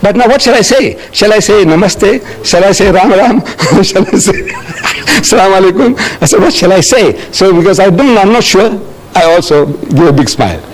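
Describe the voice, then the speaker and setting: An older man from South Africa answering audience questions in a lecture, animated and telling stories with acted-out dialogue. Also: high-pitched, moderate pace, rough recording.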